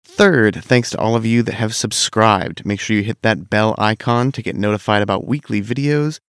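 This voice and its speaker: A man recovering from a cold, narrating a scripted game commentary in a measured, analytical way. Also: fairly low pitch, clean tone, dry recording, clean studio sound. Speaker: gaming video host.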